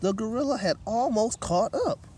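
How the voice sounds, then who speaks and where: A man's voice: high-pitched, expressive, normal speed, a little mellow, slightly rough. A middle-aged man reading a children's picture book aloud as a guest, outdoors at a park table. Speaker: guest story reader. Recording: distant microphone, light outdoor noise.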